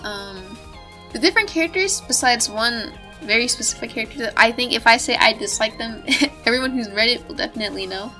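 A woman's voice: fairly high pitch, animated, a little fast, bright, forceful tone, soft background music. A woman talking off the cuff in a casual, rambling, giggly way with some swearing, like a video creator giving her opinions. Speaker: video creator reviewing visual novels. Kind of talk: unscripted casual chat.

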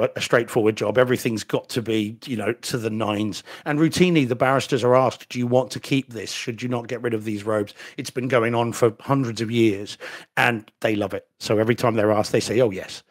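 A man's voice: mid-range voice, fairly even intonation, fairly quick. A middle-aged man from New Zealand, a solicitor co-hosting a home theater podcast, talking casually and carefully, with dry asides and restarts, on a desk microphone from a room at home. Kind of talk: casual chat. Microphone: desk microphone on video call.

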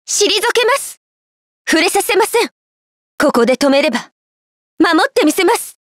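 A woman playing a graceful, humble young warrior queen in a video game, polite, soft-spoken and earnest. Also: extremely high pitch, very animated, moderate pace, no room sound, studio quality. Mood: resolute. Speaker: game voice actor. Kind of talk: scripted Japanese video game character lines.

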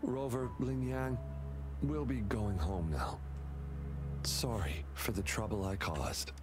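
A man's voice: lowish voice, takes it slowly, music in the background. A man voicing an apologetic, well-meaning young man in a video game, speaking hesitantly. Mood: sheepish, apologetic. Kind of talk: English game voice acting.

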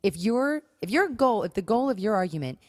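A woman's voice: medium pitch, very animated, fairly quick, dry recording, studio quality. A woman co-hosting a podcast, talking casually and earnestly, with emphatic points and rhetorical questions. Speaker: podcast co-host. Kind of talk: casual conversational talk on marriage and faith.

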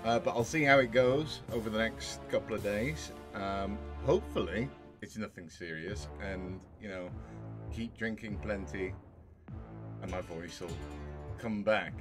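A man with a sore throat talks low and relaxed, thinking aloud as he streams a game. He speaks close to a desk mic in a small home room. Music plays clearly behind his voice. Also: unhurried. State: sore throat, voice lower than normal. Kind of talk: casual game commentary. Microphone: headset with desk mic.

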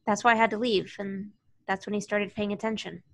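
A woman's voice: mid-range voice, level tone, brisk, a bit bright, close to an everyday voice. A woman reading the role of a guarded, weary young love interest at an amateur table read, understated and wry. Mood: regretful. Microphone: video call.

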